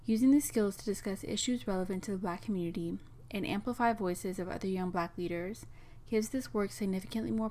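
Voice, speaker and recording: Young female podcast host, lowish voice, earnest scripted intro delivery. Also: darkish tone, slight background noise.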